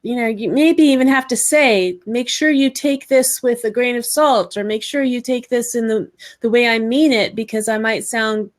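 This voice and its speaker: A woman with a fairly high voice hosts a casual interview, pausing and restarting as she feels her way into questions. She keeps nodding along with short affirmations. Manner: hesitant, restarts, frequent affirmations. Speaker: podcast host.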